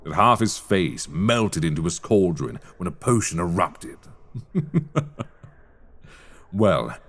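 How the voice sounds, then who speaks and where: A man playing a middle-aged, menacing headmaster of a magic school in a dark fantasy audio roleplay, haughty, mocking and theatrically polite. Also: sits low, expressive, takes it slowly, heavily acted. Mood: amused, probing. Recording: echoey hall with long reverb.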